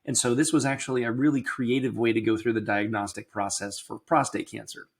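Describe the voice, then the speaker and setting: A middle-aged man with a slightly crackly voice hosting a casual personal health podcast, talking candidly and explaining in a measured way. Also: mid-range voice, little pitch movement. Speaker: podcast host.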